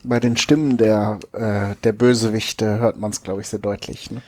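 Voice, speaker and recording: A man's voice: on the low side, level tone, moderate pace, darkish tone, no echo. A man talking casually in a group discussion, acting as co-host.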